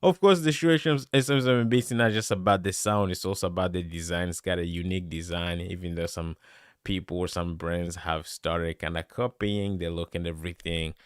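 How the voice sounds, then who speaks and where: Deep bassy guy rambling excitedly on a live stream, dry close mic, super clean. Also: normal speed, clean tone, no room sound. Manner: chatty, excitable, many fillers. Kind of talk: casual live stream product review. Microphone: studio dynamic microphone close up.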